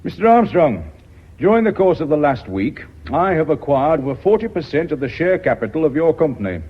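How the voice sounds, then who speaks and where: An actor playing a rude, domineering businessman in a British radio drama, curt and brusque, with a husky voice. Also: fairly high pitch, very animated, a little fast. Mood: blunt confidence. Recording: muffled old radio broadcast.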